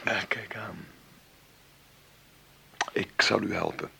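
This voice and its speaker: An actor in a radio play playing a wealthy, famous film-maker in his fifties, wry and commanding, with a gravelly, husky, sometimes halting delivery. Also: lowish voice, fairly even intonation, pushed and bright, slight background noise, exaggerated voice. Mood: musing. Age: 50s. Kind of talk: Dutch radio play acting.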